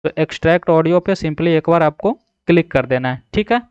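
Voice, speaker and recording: A man's voice: fairly high pitch, expressive, brisk, a little mellow, clean tone, no echo. A man narrating a step-by-step app tutorial, instructing and often checking in with the listener. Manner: repetitive verbal check-ins, instructional. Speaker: tutorial host. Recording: slightly dull, dry voice-over.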